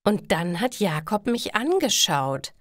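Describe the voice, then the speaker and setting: Female narrator as self-important, boy-crazy young girl, storybook dialogue, pitched up, bossy and gushing. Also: very animated, slow, dry recording, studio quality. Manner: gushing and possessive.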